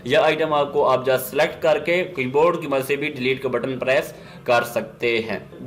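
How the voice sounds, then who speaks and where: A man with a Pakistani accent presenting an instructional software demo, explaining step by step and mixing in English business terms. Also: medium pitch.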